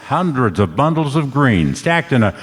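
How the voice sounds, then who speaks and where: A man's voice: mid-range voice, sing-song, moderate pace, breathy edge. A man playing a tough, wry private detective in an old-time radio play, both narrating and talking. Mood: tense narration. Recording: echoey hall stage recording, music behind.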